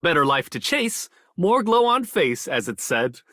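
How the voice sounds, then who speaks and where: A man's voice: on the high side, big pitch swings, measured pace, bright, forceful tone, no echo, studio quality, exaggerated voice. A man voicing a cheerful, courteous merchant in a game. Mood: cheerful, genial. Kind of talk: game character voice acting.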